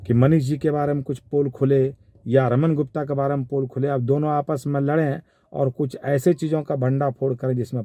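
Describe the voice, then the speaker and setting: A man with a Bihari accent giving informal spoken commentary in a measured, halting, explanatory way. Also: a little high, warm tone, no room sound, clean studio sound. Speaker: commentator giving his analysis of a viral call.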